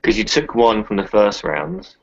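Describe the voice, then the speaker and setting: A man's voice: on the low side, lively intonation, normal speed, vocal fry, slight background noise. A man chatting casually as a player in a tabletop roleplaying game. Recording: dull lo-fi voice chat audio.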